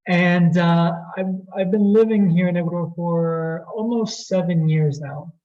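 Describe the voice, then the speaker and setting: A man from Colombia co-hosts a webinar with real enthusiasm. His voice is fairly high and mellow, and he often repeats words as he goes. The call audio sounds dull and lo-fi. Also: measured pace. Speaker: retreat co-host. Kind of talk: presenting a webinar.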